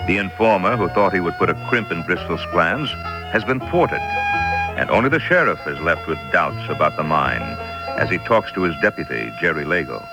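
Low-pitched male narrator over loud music. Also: expressive, normal speed. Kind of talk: scripted radio drama narration.

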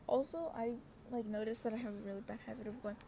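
A woman's voice: fairly high pitch, fairly even intonation, fast talker. A young adult woman chatting casually as a podcast host in a scattered, slangy way, often interrupting herself. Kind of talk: casual rambling personal podcast chat. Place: outdoors with wind and traffic. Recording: windy outdoor noise, passing cars. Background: wind, cars, ambient noise.

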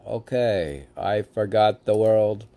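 A man speaks at an unhurried pace in a low, fry-laden voice. He rambles casually between reading verse and commenting on it, posing questions and answering them himself. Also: warm tone. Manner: musing, asks and answers his own questions. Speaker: poetry reader and commentator.

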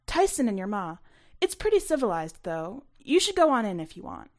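A woman's voice: medium pitch, very animated, normal speed, darkish tone, natural voice. A woman voicing a breezy, offhand middle-aged woman visitor in an audio story. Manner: offhand, casual.

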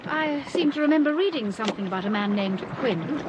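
A woman's voice: fairly high pitch, lively intonation, background noise, close to an everyday voice. A woman playing a poised, inquisitive detective's wife. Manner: bright, inquisitive, brisk. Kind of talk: 1940s radio acting.

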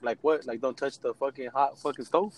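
A man's voice: medium pitch, steady tone, brisk. A man co-hosting a casual podcast who fires off teasing comebacks and jokes. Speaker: podcast co-host.

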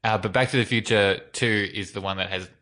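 A man's voice: lowish voice, fairly even intonation, a little fast, sharp tone. A man in a casual podcast chat, playful and chatty, quoting movie lines. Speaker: podcast co-host.

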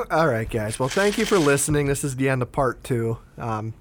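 A man's voice: medium pitch, animated, normal speed. Easygoing male podcast host, noisy recording. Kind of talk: casual chat. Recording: background noise, clicks.

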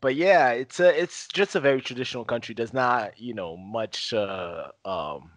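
A young man from Haiti, a podcast guest telling his story in relaxed, casual conversation with many fillers and laughs. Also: medium pitch, moderate pace, no room sound.

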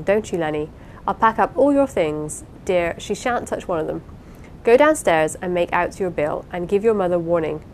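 A woman reader acts a vain young Victorian wife, imperious and effusive. Her pitch sits in a middle female range and her delivery is emphatic, as in a dramatised storybook. A clear rumbling noise runs behind her. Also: darkish tone, natural voice, rough recording. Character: Rosamond, vain, fond, fiery-tempered young wife. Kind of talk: dramatised audiobook reading of a Victorian novel.

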